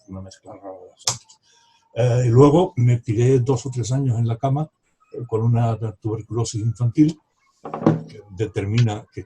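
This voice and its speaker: A man's voice: mid-range voice, mellow, husky, low-quality recording. A man in his seventies, from Morocco, who speaks as a novelist guest at a book club over a video call. He talks in long, rambling turns, restarting sentences and trailing into asides. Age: 70s. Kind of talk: casual reflective conversation.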